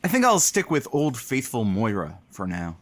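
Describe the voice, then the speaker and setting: A wisecracking male radio colleague and breezy ladies' man. His pitch moves about in an animated way as he tosses off dry puns and banter, in the manner of a comedy audio drama. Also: moderate pace. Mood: casual, evasive.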